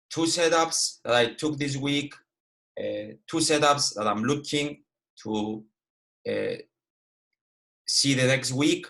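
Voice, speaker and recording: A young man from Cyprus speaks English with a Greek Cypriot accent, his first language being Greek. He runs a live online lesson in a casual, straightforward manner, often stopping to check in with his listeners. His pitch sits in an ordinary middle range for a man. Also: fairly bright tone. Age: 20s. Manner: casual and direct, peppers speech with "okay" and "all right". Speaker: guest trading educator.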